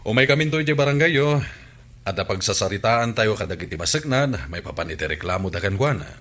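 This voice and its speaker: An earnest municipal employee, played by a man, fairly low-voiced with lively intonation. He is formal and businesslike, in radio drama style. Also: quick pace. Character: earnest, official town hall employee. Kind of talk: Ilocano radio comedy drama acting.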